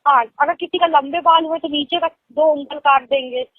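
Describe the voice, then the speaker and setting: High-voiced young woman on a phone call. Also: steady tone, normal speed, no echo. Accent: Lucknow Hindi. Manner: explains at length, laughs easily. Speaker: phone caller. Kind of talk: casual phone conversation. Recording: tinny phone call recording.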